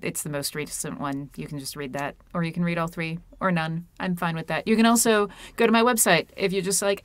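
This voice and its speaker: A woman in her thirties speaks as a guest on a home video call with a wired earphone mic. Her voice sits a little low, and the sound is dry and close, with no room around it. She talks loosely and self-deprecatingly, with plenty of ums and restarts. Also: clean tone, no room sound. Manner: chatty, self-deprecating, many fillers. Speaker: author and puppeteer guest. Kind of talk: casual video-call interview. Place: home room with a red curtain.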